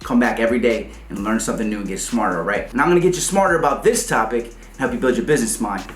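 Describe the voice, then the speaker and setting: Fast-talking energetic man in an echoey room. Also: medium pitch. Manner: energetic, repeats "okay?" often. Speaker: YouTube host for aspiring rappers. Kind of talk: fast enthusiastic explainer vlog.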